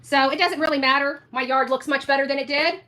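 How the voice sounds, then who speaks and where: A woman's voice: high voice, steady tone, fairly quick, pushed and bright. A woman livestreamer ranting confrontationally, taunting and repeating her phrases for emphasis.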